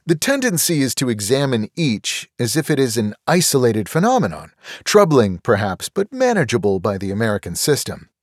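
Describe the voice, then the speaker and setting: Expressive male audiobook narrator, very dry sound. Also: medium pitch, normal speed, no room sound. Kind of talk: scripted audiobook narration of a first-person political memoir.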